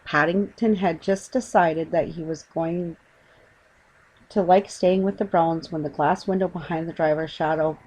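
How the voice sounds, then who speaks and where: A woman's voice: low voice, steady tone, unhurried, darkish tone, low-quality recording. A woman reading a children's story as an audiobook narrator.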